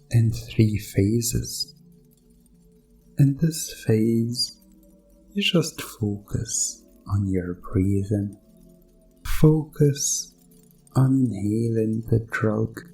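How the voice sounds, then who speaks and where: A man playing a sinister doctor in a hypnosis ASMR roleplay, soothing and hypnotic, repeating himself with calm menace. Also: lowish voice, vocal fry, exaggerated voice, poor audio. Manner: slow, soothing, hypnotic, repetitive.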